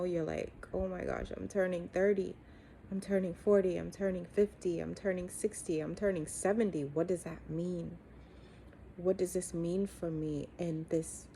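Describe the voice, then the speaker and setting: A middle-aged woman giving an earnest, encouraging, faith-based motivational talk, speaking deliberately with frequent pauses. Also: fairly low pitch, dark tone, slight background noise. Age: 40s or 50s. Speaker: coach and host giving encouragement.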